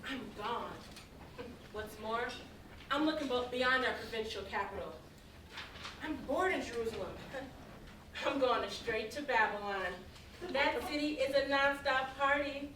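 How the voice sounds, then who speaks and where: A woman playing a brash, flippant, hard-living prodigal son in a theatrical staged reading. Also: high voice. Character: wayward, hard-drinking prodigal son, brash and pleasure-seeking. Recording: echoey live stage, distant mic, faint noise.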